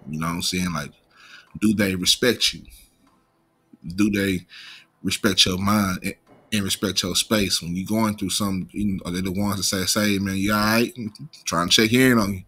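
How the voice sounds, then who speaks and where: Male commentary host, American, lowish pitch with a sharp edge, slight stutter, casual profane street slang, unscripted. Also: fairly even intonation, moderate pace, husky. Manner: imperfect pronunciation of some words.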